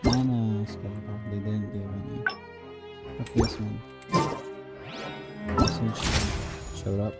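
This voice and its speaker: A woman's voice: very deep voice, flat delivery, slow, creaky, long pauses. A woman casually chatting to herself while playing a game, muttering offhand and trailing off. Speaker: game streamer. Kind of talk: casual gameplay chat to self. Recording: loud game music behind.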